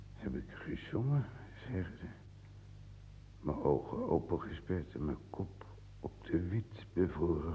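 A bottomless, creaky bass, this is a dead old drunken pallbearer telling his own tale. He drags out his rambling, theatrical radio-play monologue with ghostly self-mockery, over a noisy old recording. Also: dark tone, heavily acted. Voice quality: very deep, gravelly. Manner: slow, rambling, theatrical monologue, buttonholing the listener.

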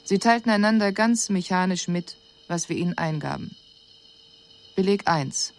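An actress playing a composed alien envoy who gives a formal, measured, analytical report in a radio drama. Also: female voice, on the low side, expressive. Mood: detached, puzzled.

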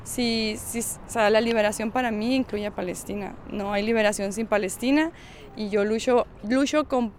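A woman's voice: fairly high pitch, moderate pace, a little hiss or hum. A woman from the northern Mexican borderlands with a northern Mexican accent, speaking spontaneously as an activist interviewee and often feeling for her words. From Mexicali and the Sonora-California borderlands of northern Mexico. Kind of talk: spontaneous spoken testimony.

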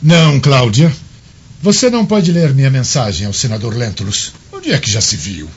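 Guy playing a bossy irritable roman governor in a radio play, swinging pitch, scolds his wife, dull noisy audio. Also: big pitch swings, normal speed, lightly acted. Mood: irritated, commanding. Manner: haughty, scolding, commanding. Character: imperious, irritable Roman governor, domineering husband. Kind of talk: radio drama acting. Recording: dull lo-fi audio, faint noise.

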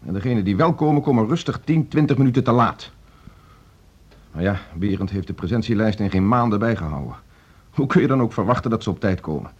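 A strict, exasperated male conductor in a radio play. His husky voice swings widely in pitch as he complains in formal, indignant phrases. The sound is slightly muffled. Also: lively intonation, fast talker. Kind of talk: naturalistic Dutch radio drama acting. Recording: dull radio drama recording.

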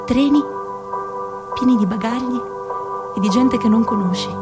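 A woman's voice: on the high side, moderate pace, natural voice. An actress performing a restless young woman who dreams of her own startup, in a rhythmic, poetic theatrical monologue. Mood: dreamy. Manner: rhythmic, incantatory wordplay. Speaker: narrator and lead actor. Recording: music playing behind. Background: music.

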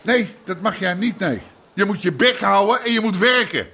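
An actor playing a bullying, foul-mouthed red-light crime boss in a radio drama, gruff and sarcastic. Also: male voice, high-pitched, expressive, normal speed, pushed and bright, slight background noise, exaggerated voice. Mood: furious.

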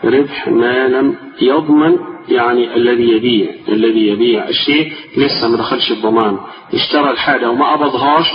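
Tired-voiced Egyptian sheikh in an echoey hall. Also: male voice, medium pitch. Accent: Egyptian Arabic. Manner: reads a text slowly, then explains it in colloquial speech, repeating key phrases. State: tired, strained voice. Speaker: sheikh teaching a class. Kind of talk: religious lesson, reading a text and explaining it. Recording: distant, echoey, dull-sounding lecture recording with music.

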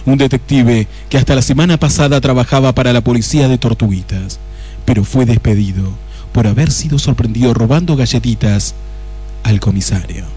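A man's voice: medium pitch, fairly quick, warm tone, husky, faint music behind, lightly acted. A man playing the wry, theatrical narrator of a detective spoof, reading scripted radio play narration with an Argentine accent. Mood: mock-solemn. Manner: theatrical, mock-solemn.

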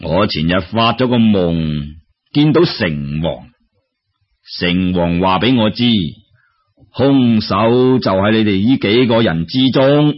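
A man voicing a stern, shrewd scholar-official in a Cantonese-accented story, solemn, deliberate and commanding. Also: fairly low pitch, breathy edge, lo-fi sound, voice pushed down, full character voice.

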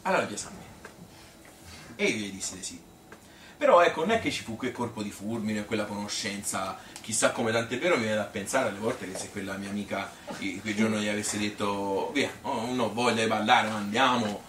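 A man's voice: lowish voice, fairly quick, fairly bright tone, lightly acted. A man playing a chatty, self-amused Tuscan woman who rambles through her courtship memories in a folksy theatrical monologue. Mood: amused, nostalgic. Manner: rambling, folksy, filler-laden. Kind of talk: theatrical monologue, colloquial storytelling. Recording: echoey room, distant mic, hissy noise.

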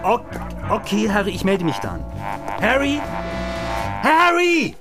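High-pitched, volatile radio reporter. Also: male voice, wildly swooping pitch, takes it slowly, music in the background, heavily acted. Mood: agitated, pleading. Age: 30s. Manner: pompous reporting breaking into coarse cursing. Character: cynical, hot-tempered 39-year-old stranded radio reporter. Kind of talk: German radio drama acting, mock live reportage.

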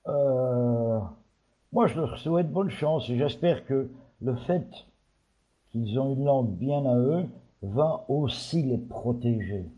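A man's voice: medium pitch, slow pace, warm tone, low-quality recording. A man from Belgium giving his opinions in a panel discussion, hesitant with many fillers. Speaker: panel guest.

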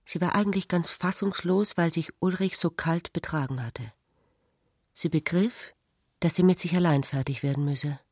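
An actress narrating a literary radio drama as a troubled, wounded woman, measured and introspective. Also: fairly low pitch, a little mellow, breathy edge, no room sound, studio quality. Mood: sorrowful, introspective.

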